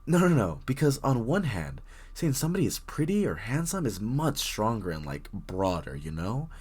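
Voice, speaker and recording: A man's voice: on the low side, big pitch swings, normal speed, darkish tone, slightly rough. A man playing a very shy, bookish young stranger in an intimate roleplay audio drama, stammering, rambling and apologising nervously as he addresses the listener. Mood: shy, warming up.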